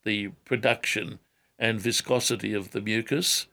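An older man answering callers on a radio health show, measured and careful, often repeating words and hedging before giving advice. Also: on the low side, fairly even intonation, vocal fry, sharp tone.

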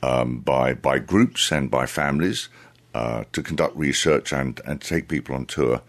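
A man answering interview questions as a tour guide, speaking in a deliberate, halting, conversational way. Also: rumbling low. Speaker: tour guide, radio guest.

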